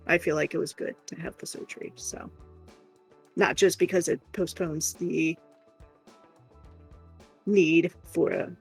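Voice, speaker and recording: Creaky-voiced woman chatting casually on stream. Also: on the low side. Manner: candid, rambling, informal. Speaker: livestream co-host. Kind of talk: casual livestream chat.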